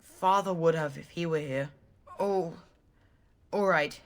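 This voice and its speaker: An actress playing an unsure but dutiful villager in a fantasy video game. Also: female voice, low voice, slow pace. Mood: hesitant, resolved.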